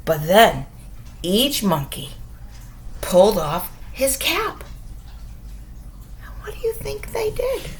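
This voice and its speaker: A woman reading a bedtime story aloud to young children as a storyteller, expressive, with dramatic pauses and questions to her listeners. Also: mid-range voice, slight background noise.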